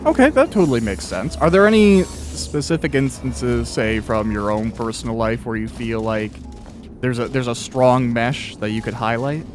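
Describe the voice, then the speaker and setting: A man's voice: medium pitch, normal speed, darkish tone. A man hosting a casual interview while playing a video game. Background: video game audio, faint music.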